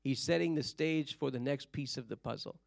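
A slightly hoarse man giving a sermon-style Bible history lesson, telling it in a measured, explanatory way and stretching key words. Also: mid-range voice, dry recording, studio quality.

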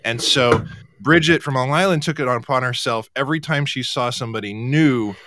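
A man hosting a talk show, chatting casually and telling anecdotes in an animated way. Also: mid-range voice, brisk, noisy background.

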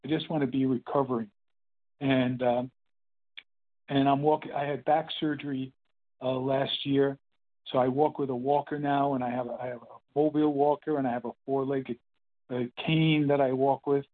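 A man's voice: mid-range voice, little pitch movement. Eighty-year-old man, tinny phone line. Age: 80s. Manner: rambling, halting, with many fillers and restarts. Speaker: meeting speaker sharing his story. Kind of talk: casual spoken testimony.

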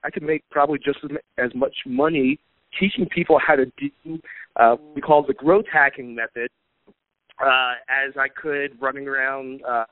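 A man being interviewed as a guest on a podcast, telling his story in a relaxed way with many fillers. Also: mid-range voice, normal speed, no room sound. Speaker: podcast guest, marketing coach. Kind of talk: casual interview talk. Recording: tinny phone-like line, dry.

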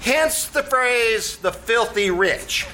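A man's voice: extremely high pitch, expressive, slow, heavily acted. A man playing a brash tavern manager in old-time radio comedy, lecturing pompously in streetwise slang. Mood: pompous, know-it-all. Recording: faintly noisy live theatre stage recording.

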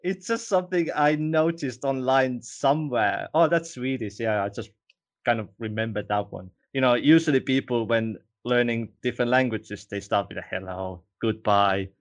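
A man from Estonia, whose first language is Estonian, hosting an interview in a relaxed way, with frequent "you know". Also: mid-range voice, moderate pace. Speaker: interview host. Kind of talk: casual interview.

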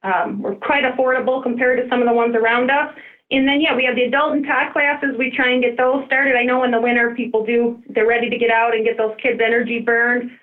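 High-voiced woman on a phone line. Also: fairly even intonation, a little fast. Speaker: recreation department representative, radio guest. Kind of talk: casual phone interview. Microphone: telephone. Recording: muffled narrow phone line.

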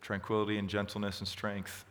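A man with a lowish voice speaks in a bright, forceful tone. He teaches a church group in a conversational, gently encouraging way. The recording carries the long reverb of a hall. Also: monotone, a little fast. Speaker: pastor leading a group session. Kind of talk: teaching and answering questions.

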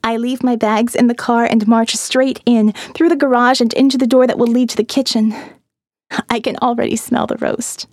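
Female narrator, eerie and dreamy, hushed dips, dry booth sound. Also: steady tone, normal speed, dry recording. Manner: dreamy, eerie, drops to hushed low tones. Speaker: audiobook narrator. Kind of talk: scripted first-person narration.

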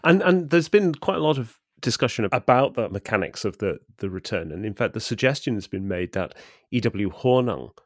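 A man co-hosting a literary discussion in a scholarly, measured manner, setting out his points with care. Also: lowish voice, lively intonation, no echo, studio quality. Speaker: podcast co-host.

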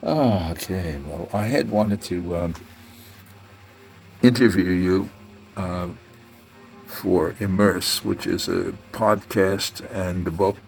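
Low, creaky-voiced male podcast host. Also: slow pace, long pauses, darkish tone, faint music behind. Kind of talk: scripted introduction, then unhurried interview talk.

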